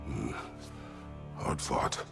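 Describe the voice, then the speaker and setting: An actor playing a stern, weary old warrior god in a video game, speaking in a terse, grave, measured way with a gravelly voice. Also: male voice, over music. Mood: grave reflection. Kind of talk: dramatic video game acting.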